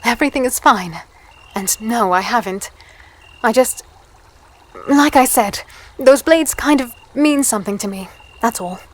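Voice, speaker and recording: A woman playing a guarded, curious young human captive in a dark fantasy audio drama, hesitant, polite and introspective. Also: fairly high pitch, measured pace, pauses often. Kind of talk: first-person audio drama narration and dialogue.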